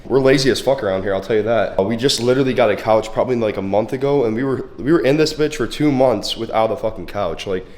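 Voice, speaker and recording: A young man in his twenties with a congested, slightly hoarse voice, chatting casually and profanely with lots of filler words in a fitness vlog. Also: mid-range voice, quick pace, hardly any pauses, darkish tone. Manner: laid-back, profane, full of "like" fillers. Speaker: fitness vlogger. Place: bedroom at home, then a gym.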